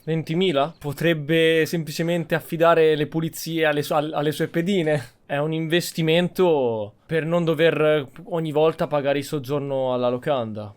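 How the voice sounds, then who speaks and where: A man's voice: a little high, fairly even intonation, fairly quick, hardly any pauses. A man with an Italian accent giving roleplay-style commentary as he plays a video game. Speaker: gameplay host.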